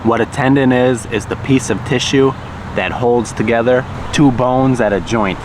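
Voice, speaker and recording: An American man speaking English as his native language, eating and talking slowly and clearly while he explains words for learners. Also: mid-range voice, noisy background. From United States. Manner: slow, deliberate, explains words as he goes. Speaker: language teacher and vlogger. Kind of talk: slow, clear English lesson vlog.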